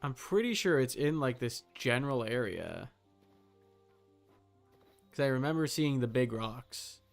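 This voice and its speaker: A man's voice: mid-range voice, animated, unhurried, gravelly, faint music behind. A man giving casual live commentary as a game streamer, muttering short, casually profane reactions.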